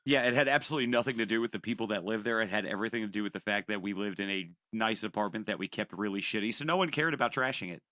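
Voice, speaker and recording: Guy podcast cohost talking really fast, crisp bright tone, dry sweary wisecracks, close dry mic. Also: on the low side, dry recording. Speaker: podcast co-host. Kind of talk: casual bantering chat.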